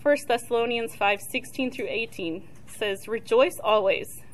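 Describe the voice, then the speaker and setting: Soft-spoken woman teaching on prayer. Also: fairly high pitch, a little slow, low-quality recording. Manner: soft-spoken, chatty, with frequent fillers and restarts. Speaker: church member leading a talk on prayer. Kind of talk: casual church teaching.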